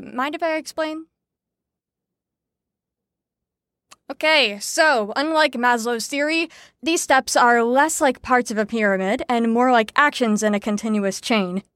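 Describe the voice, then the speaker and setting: Actress as a wry, higher-voiced female lecturer. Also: a bit bright, dry recording, studio quality, natural voice. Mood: didactic, patient. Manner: didactic, wry, articulate. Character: brisk, sarcastic female teacher explaining psychology theories. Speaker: lecturer leading a group lesson. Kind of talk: audio drama acting, lecturing.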